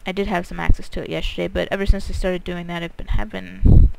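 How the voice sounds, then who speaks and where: Woman gamer mumbling along to her playthrough, creaky voice, hesitant, mouth right on the mic, super noisy recording. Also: lowish voice, level tone, fast. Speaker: gaming video maker. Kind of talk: casual game playthrough commentary. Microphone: too close to the mouth. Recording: very noisy close mic, breath pops.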